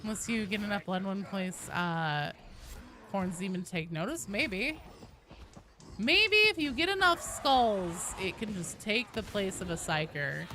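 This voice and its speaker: Woman chatting casually over clear background noise. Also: mid-range voice, animated, measured pace, bright, forceful tone. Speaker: game livestreamer chatting with viewers. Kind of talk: casual rambling chat.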